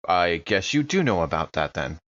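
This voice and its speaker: Male game player, lowish forceful voice, casual chatty role-play. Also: big pitch swings, normal speed, lo-fi sound.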